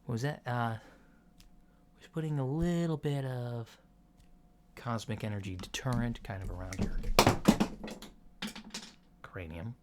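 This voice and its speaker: Slow, soft-spoken man as an alien doctor, noisy lo-fi. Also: mid-range voice, natural voice. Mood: quiet, focused. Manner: hesitant, mild, matter-of-fact. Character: casual, reassuring alien doctor giving a checkup. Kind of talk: soft-spoken ASMR roleplay. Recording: lo-fi with clear background noise.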